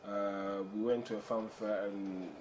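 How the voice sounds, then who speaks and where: Low-voiced Congolese man, hesitant. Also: little pitch movement, measured pace, a little mellow. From Kinshasa, Congo. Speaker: interview guest, entrepreneur. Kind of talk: conversational personal storytelling. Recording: background noise, room ambience.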